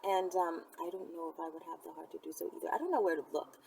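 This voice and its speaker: A woman's voice: fairly low pitch, fairly even intonation, fast, vocal fry. A middle-aged woman chatting casually to camera as she answers viewers, talking in a rambling way with frequent asides. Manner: rambling, conversational, trailing into asides. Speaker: vlogger answering viewers' questions. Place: outdoors or in a vehicle at the roadside. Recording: distant mic, loud vehicle and ambient noise. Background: loud vehicle noise.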